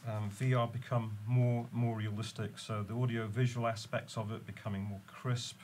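Guy with a cold, lowish voice, slow with ums, faint hum behind. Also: little pitch movement, darkish tone. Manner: measured, many ums. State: head cold, losing voice. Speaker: guest from the company. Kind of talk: panel discussion. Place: dim studio lounge with screen.

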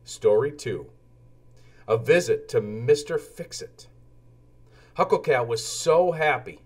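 A man reads a children's storybook aloud at an unhurried pace. His delivery is expressive, with lively swings in pitch, shaped for young listeners. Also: breathy edge. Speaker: children's book narrator. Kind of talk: animated read-aloud storybook narration.

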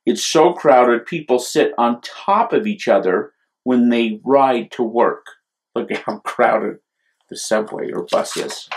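Storytime host: American man in his fifties, slow easy pace, reads picture books and chats to young listeners. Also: fairly low pitch, poor audio. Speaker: children's storytime host and reader. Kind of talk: picture book read-aloud and casual chat to young audience.

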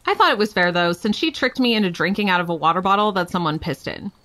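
A woman's voice: medium pitch, expressive, fast talker, pushed and bright, slight background noise. An actress playing a defiant, playful young woman telling her story in a frank, wry, casual way for an audio drama. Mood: wry, blunt.

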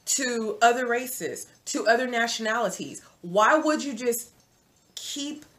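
A woman in her forties giving casual dating advice to viewers from her home, chatty and animated, calling the viewer sis. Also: a little high. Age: 40s. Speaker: vlogger. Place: home room, indoors.

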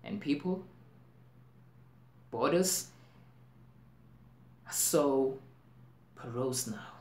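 A man playing an anxious, intense worrier in a dramatic stage monologue, in deliberate broken phrases with emphatic swells. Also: medium pitch, lively intonation, a little mellow, voice pushed up. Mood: agitated, urgent. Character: anxious, intense man brooding on global plagues. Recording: roomy echo, faint background noise.

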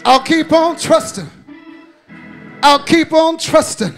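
A man preaching a fervent, half-chanted sermon in a gravelly voice, repeating phrases rhythmically and punctuating them with "uh". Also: extremely high pitch, lively intonation, takes it slowly, fairly bright tone. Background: music behind the preaching.

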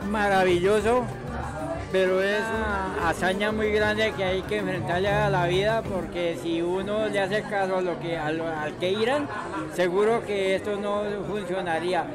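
An older man from Colombia, a farmer, speaks in a measured, slightly formal way in a casual interview. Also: sits high, fairly even intonation. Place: busy indoor market stall. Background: crowd chatter and loud music.

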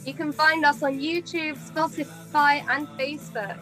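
A woman's voice: high-pitched, steady tone, unhurried, pushed and bright, faint music behind, low-quality recording. A woman co-hosting a podcast in an upbeat, enthusiastic way. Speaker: podcast co-host.

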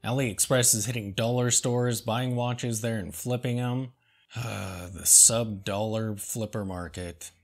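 A man hosting a comedy show, reading product reviews aloud and answering them with deadpan sarcasm. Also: fairly low pitch. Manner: dry, sarcastic, slow and deliberate. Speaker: host.

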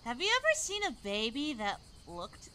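A woman voicing a bubbly, silly, excitable preteen girl in a cartoon comic dub. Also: fairly high pitch, moderate pace, a little hiss or hum, heavily acted. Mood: playful. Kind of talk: comic dub character acting.

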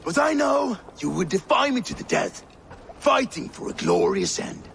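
Cruel gravelly Viking warlord. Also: male voice, very high-pitched, huge pitch swings, a bit bright, slight background noise, exaggerated voice. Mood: gloating, taunting. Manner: menacing, taunting, drawn-out delivery. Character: cruel, gloating middle-aged Viking warlord. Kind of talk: dramatic video game acting.

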